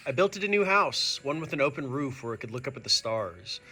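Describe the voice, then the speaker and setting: A male teacher reads a storybook for children in a bright, mid-pitched voice. His intonation is very animated and expressive, addressed straight to young listeners. Soft music sits faintly behind him. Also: brisk, slightly rough. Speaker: teacher reading a picture book to students. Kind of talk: animated children's read-aloud.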